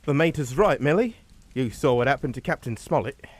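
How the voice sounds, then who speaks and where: An actor playing the young, well-bred son of a family in a suspense drama, with a hearty, proper manner. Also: male voice, medium pitch, lively intonation, fairly quick, dry recording, close to an everyday voice. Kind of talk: radio suspense drama acting.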